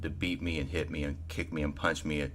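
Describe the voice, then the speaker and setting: A man voicing a stoic young half-orc male cleric in a low, slightly gravelly voice, halting and flat with many pauses. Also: a little hiss or hum.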